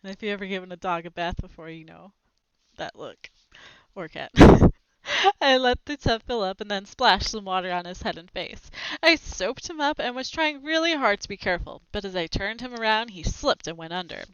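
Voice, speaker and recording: A woman reads a children's book aloud as a storyteller, with a very lively, swinging intonation. Her voice is clear and smooth, and she drops in short asides about the pictures. The recording is close and dry but slightly dull. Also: mid-range voice, moderate pace, no echo.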